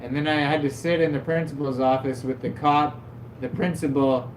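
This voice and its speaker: A man's voice: a little high, steady tone, moderate pace. A man hosting a livestream, telling rambling stories in a loose, self-mocking way with lots of "like". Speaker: livestream host. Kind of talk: casual stream chat, storytelling. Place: at his desk at home. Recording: echoey room, faint background hum.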